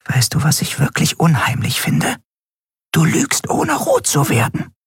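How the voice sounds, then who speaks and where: A man's voice: medium pitch, fairly even intonation, measured pace, no room sound, clean studio sound, pitched above the performer's own voice. A man voicing a tiny, worried female winged creature in an audiobook. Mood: teasing whisper.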